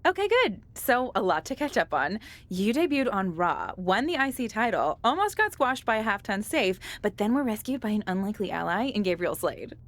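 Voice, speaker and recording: Lively, breezy interviewer. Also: female voice, mid-range voice, normal speed. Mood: breezy, upbeat. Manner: breezy, conversational. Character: chatty, upbeat female documentary interviewer. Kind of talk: video game cutscene acting.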